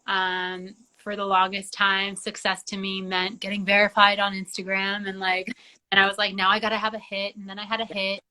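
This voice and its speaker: A young woman from Georgia, whose first language is Georgian, talks as a guest in a relaxed interview. Her voice is bright and carries a middling pitch. She rambles in a chatty way, full of "like". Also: moderate pace, low-quality recording. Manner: chatty, many "like" fillers. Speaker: singer-songwriter guest. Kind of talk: casual interview chat.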